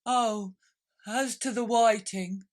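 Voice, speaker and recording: A woman acts out a sorrowful male turtle creature from a storybook, pitched high. He is solemn and wistful, yet readily swells into excitable exclamation. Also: slow pace. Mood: explanatory.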